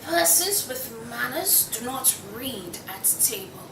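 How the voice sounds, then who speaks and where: A young woman in a play table read, playing a prim, sharp-tongued wife who speaks with deliberate disapproval. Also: a little high, big pitch swings, full character voice. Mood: prim, scornful. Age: young adult. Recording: echoey room, distant mic, clear noise.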